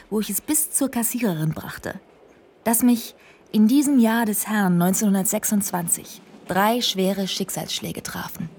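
An actress playing a young American bank teller turned would-be adventurer, narrating and speaking in a dreamy, often whispered way. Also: female voice, fairly low pitch, gravelly, darkish tone, a little hiss or hum. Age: young adult. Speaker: narrator and heroine. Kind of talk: radio play narration and dialogue.

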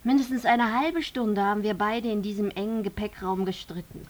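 A woman's voice: medium pitch, normal speed, natural voice. An actress performing in a German radio drama, voicing a female court announcer and dinner hostess. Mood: conversational.

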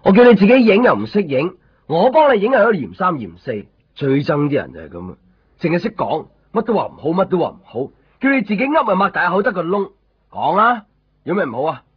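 A man's voice: sits high, sing-song, quick pace, slightly rough, no echo, full character voice. A man with a Hong Kong Cantonese accent playing a weary, short-tempered photocopy shop keeper in a radio drama. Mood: irritated, scolding. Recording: muffled 1990s radio drama, music behind. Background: music.

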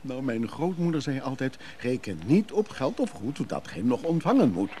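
A man playing a brisk schemer giving urgent, secretive orders on the phone in a radio play, halting and commanding. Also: medium pitch, very animated, warm tone, slight background noise, close to an everyday voice. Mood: excited.

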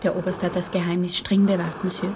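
A woman's voice: fairly low pitch, little pitch movement, fast, background noise, close to an everyday voice. A woman playing a devoted, resolute woman in wartime, speaking earnestly and solemnly in a German radio play. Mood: solemn resolve. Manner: earnest, declamatory.

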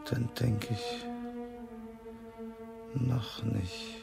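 Casting: bitter radio drama man near breaking, very creaky, dragging delivery. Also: mid-range voice, steady tone, extremely slow, loud music behind the voice, heavily acted. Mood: bitter, brooding. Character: bitter, brooding man close to snapping. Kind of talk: radio play dialogue and brooding monologue.

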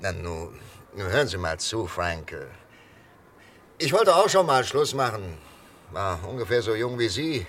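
A man playing a calm, coaxing psychiatrist in a 1960s German radio thriller, talking in a hesitant, conversational way. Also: lowish voice, a little slow, pauses often. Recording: 1963 radio recording, faint noise.

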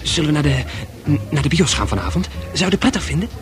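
A fairly high male voice with lively swings in pitch, an awkward young suitor in a radio play. He is tender and earnest, stumbling over and repeating his words, with music clearly audible behind him. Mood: nervous, eager. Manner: halting, stammering, repeats words.

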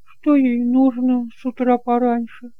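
Man putting on a high, pert young bride. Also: completely flat delivery, a little slow, smooth, no room sound, phone-quality sound. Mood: pert, questioning. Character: young bride, blunt, easily amused wife.

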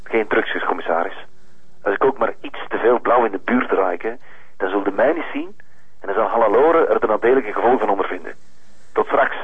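An actor playing a menacing, mock-polite kidnapper with a Flemish accent in a crime drama, teasing and controlling. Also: male voice, on the low side, a little fast, husky, phone-quality sound. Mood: controlled threat. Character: taunting, menacing male kidnapper, smug and controlling.

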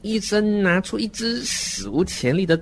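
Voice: mid-range voice, very animated, moderate pace, slight background noise. An adult performer voicing a naive, uneasy, wordy seven-year-old child who narrates a horror radio drama in an earnest, dramatic way. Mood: earnest, indignant.

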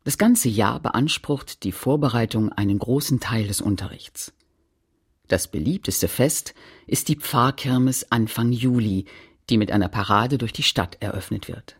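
A man with a husky, gravelly voice narrating a literary radio play in a measured, read-aloud manner. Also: mid-range voice.

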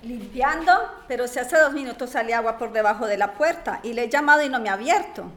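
Una mujer que interpreta a una vecina inmigrante con apuros, educada e indecisa, hablando de forma sencilla en una radionovela. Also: female voice, a little high, fast, fairly bright tone, natural voice. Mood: suspicious, calm. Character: struggling immigrant woman neighbour, polite but hesitant. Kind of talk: radio drama acting.

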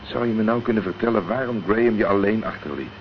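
Guy acting as blunt protective private eye in radio drama, really deep voice, short direct lines, dull noisy tape. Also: fast, close to an everyday voice. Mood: sober, explaining. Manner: terse, direct. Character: blunt, dry, protective male private detective. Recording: muffled, noisy old radio recording.

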